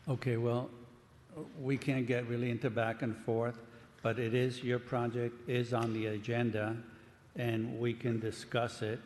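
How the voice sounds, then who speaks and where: Man, Cuban accent, meeting chair; monotone, halting delivery; reverberant council chamber. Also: mid-range voice. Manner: plain, unhurried, halting with fillers. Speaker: planning commission chair. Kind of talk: running a public meeting. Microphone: desk microphone up close. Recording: long hall reverb, faint room noise.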